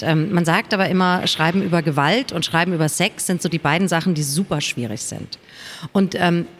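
A woman author speaking conversationally in a panel discussion, emphatic and repeating phrases for weight. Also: fairly low pitch.

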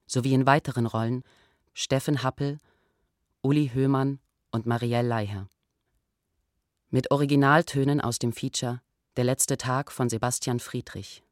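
A man's voice: medium pitch, fairly even intonation, normal speed, pauses often, no echo, clean studio sound. A man reading scripted radio credits as an announcer.

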